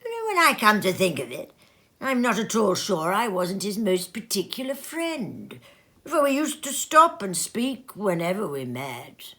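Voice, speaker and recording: A woman's voice: mid-range voice, wildly swooping pitch, a little slow, a bit bright. A woman voicing a great fat businessman in a storybook reading, offhand, jocular and callous, with a bored drawl. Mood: jovial.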